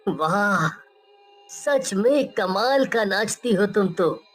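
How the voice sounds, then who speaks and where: Woman playing young male dance school owner, cartoon story, persuasive, admiring, unhurried, faint background music. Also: mid-range voice, lively intonation, voice pushed down. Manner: coaxing, admiring. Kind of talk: Hindi cartoon story acting.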